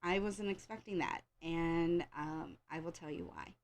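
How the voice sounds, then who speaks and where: A woman's voice: on the low side, unhurried. An American woman hosting a wrestling show in casual, rambling chat, with a slightly congested, scratchy voice and an informal, opinionated fan's way of talking. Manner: opinionated, informal fan talk with repeated phrases.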